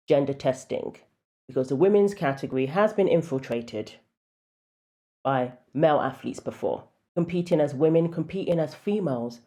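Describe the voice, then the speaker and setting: A woman with a deep voice giving casual, opinionated commentary with wry asides. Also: dark tone.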